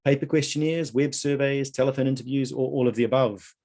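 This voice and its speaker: A man hosting a live online quiz, reading out questions and answer options in a measured way. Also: mid-range voice, steady tone, a little mellow. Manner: reads options aloud in a measured list. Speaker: quiz host at an online conference.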